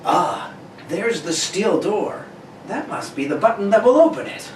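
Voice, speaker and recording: A courteous, curious secret-agent hero, played by a man, high and animated, narrating his discoveries like an old radio serial. The recording is roomy, distant and noisy. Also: a little slow. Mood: observing, intrigued. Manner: courteous, narrates what he sees aloud. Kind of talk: amateur read of a 1940 radio serial. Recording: echoey room, distant mic, clear noise.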